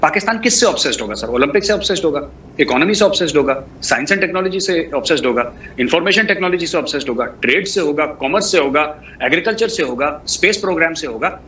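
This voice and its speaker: A man's voice: fairly high pitch, lively intonation, fast talker, sharp tone. A man giving political commentary as a guest, emphatic, piling up rhetorical questions and repeated lists. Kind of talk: political discussion, answering a host. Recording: clearly noisy background.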